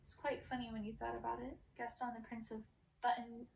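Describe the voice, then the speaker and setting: A woman's voice: a little high, little pitch movement, a little fast, gravelly, darkish tone. A woman reading a storybook aloud in an ASMR style, as its narrator. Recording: distant mic, faint background noise.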